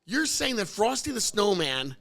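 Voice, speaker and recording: Hoarse, gravelly man with a bright voice. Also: moderate pace. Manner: excitable, pitch jumps up when arguing. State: hoarse, losing his voice. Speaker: podcast host. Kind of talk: casual banter. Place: car service center.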